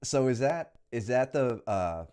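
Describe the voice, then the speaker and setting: A man's voice: mid-range voice, expressive, measured pace, a little mellow, clear, resonant tone. A man hosting a casual interview as a jiu-jitsu coach, often stammering and restarting as he talks.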